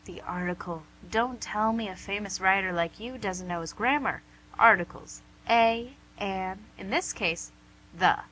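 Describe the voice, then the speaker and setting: Woman for suave devil boss lady, radio comedy. Bright, crisp condescension, pedantic, slightly noisy recording with hum. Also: medium pitch, a little slow. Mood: pedantic, scolding. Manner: pedantic, condescending, mock-polite. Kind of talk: amateur radio comedy acting.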